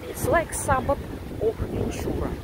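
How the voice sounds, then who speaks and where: A woman's voice: sits high, wildly swooping pitch, measured pace. Older Russian-accented woman, windy outdoor phone clip. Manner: chatty, repeats words for emphasis. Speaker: vlogger, psychiatrist. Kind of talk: casual walking vlog. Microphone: phone at arm's length. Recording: wind noise on the phone mic.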